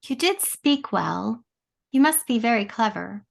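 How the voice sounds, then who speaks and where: A woman's voice: on the high side, lively intonation, a little slow, gravelly, full character voice. A woman playing a kindly, naive hospital patient in a comic play, soothing and comforting. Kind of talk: comic stage play reading.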